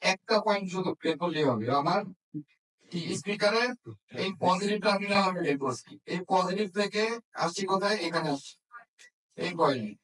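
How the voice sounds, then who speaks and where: A man's voice: high-pitched, fairly quick, fairly bright tone. A man teaching a hands-on repair class, often checking understanding with questions. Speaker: phone repair instructor. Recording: echoey room, distant microphone.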